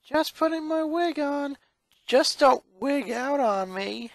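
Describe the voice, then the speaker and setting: Man acting out teenage comedian sister, high-pitched, upbeat, punning, playful cartoon storybook character. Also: lively intonation, slow pace, dry recording, heavily acted. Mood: joking. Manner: jokey, punning delivery. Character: upbeat, pun-loving teenage comedian sister, a jolly girl.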